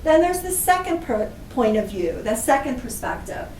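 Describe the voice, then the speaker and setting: A woman's voice: high voice, sing-song, moderate pace, a little mellow. A woman instructor giving an explanatory lesson for children, listing examples one word at a time. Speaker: library class instructor. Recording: echoey room, distant mic, faint noise.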